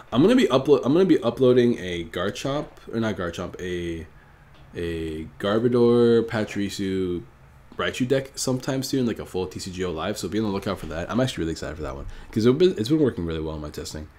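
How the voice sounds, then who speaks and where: A man's voice: on the low side, animated, fast, darkish tone. A man with a stuffy, nasal voice from a cold, casually thinking aloud while commenting on his own card game match. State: sick, stuffy nose. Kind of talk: live gameplay commentary.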